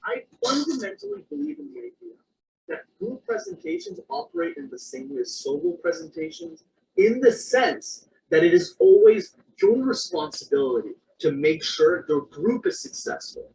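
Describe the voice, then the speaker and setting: A man speaking in a played video clip, delivering a persuasive, very animated presentation. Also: very high-pitched, moderate pace, vocal fry. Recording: distant mic with faint noise, played clip.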